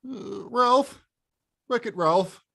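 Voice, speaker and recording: A woman playing a big, clumsy male video-game bad guy in an animated film table read, blustering and halting. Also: mid-range voice, gravelly, exaggerated voice. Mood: evasive, irritated.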